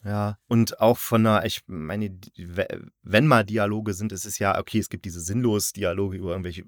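Male podcast host, low register, informal and colloquial reviewer talk, close dry sound. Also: steady tone, moderate pace, no room sound. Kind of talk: casual review chat.

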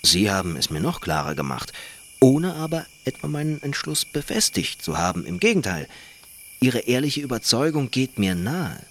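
A man playing a reserved, principled traveller in a radio play, speaking in a measured, formal and resolute way. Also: mid-range voice, sing-song, slight background noise. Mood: firm, composed. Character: reserved, principled male foreign traveller, calmly firm. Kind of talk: German radio play acting.

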